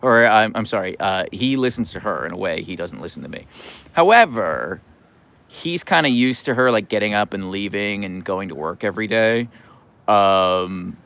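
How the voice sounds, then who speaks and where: A middle-aged American man hosts a relaxed livestream in a low voice that swings widely in pitch. He is playful and wry, reading out viewer comments and feeling his way into answers with halting starts. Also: clear, resonant tone. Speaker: livestream host.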